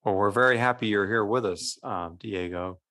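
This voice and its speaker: A man's voice: fairly low pitch, normal speed, pushed and bright. A man co-hosting a podcast as a parish priest, talking casually and rambling with restarts. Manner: rambling, many restarts and fillers.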